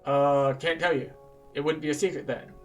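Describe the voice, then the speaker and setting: A man's voice: fairly high pitch, fairly even intonation, moderate pace, close to an everyday voice. A sing-song, childish secret-keeper from a fantasy game, as a man puts it on. The voice is raised, teasing and evasive, with light music alongside. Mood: teasing, smug.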